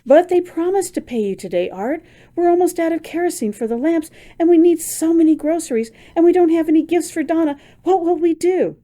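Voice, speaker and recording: Woman reading a distraught young wife and mother, high-pitched and urgent. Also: brisk, warm tone. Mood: distressed.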